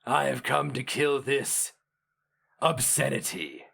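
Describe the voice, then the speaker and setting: A man's voice: medium pitch, lively intonation, slow pace, creaky, a bit bright, slightly rough, pitched above the performer's own voice, heavily acted. A man playing a savage, proud hero mage in a fantasy story, with a theatrical, declamatory delivery. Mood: grim resolve. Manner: theatrical, declamatory, raised well above the narration. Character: savage, indomitable, proud male hero mage, famed and feared.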